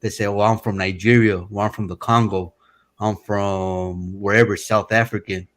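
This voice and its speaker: A low-voiced man from Mexico, Spanish his first language, rambling in a relaxed, unhurried way. He speaks bluntly and swears freely, calling in to a live stream. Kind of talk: casual opinionated chat.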